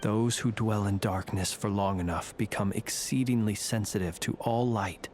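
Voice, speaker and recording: An actor playing a calm, ancient, world-weary fae warrior in a video game, with measured, formal, reflective phrasing. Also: male voice, lowish voice, a little hiss or hum, lightly acted. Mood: somber, reflective.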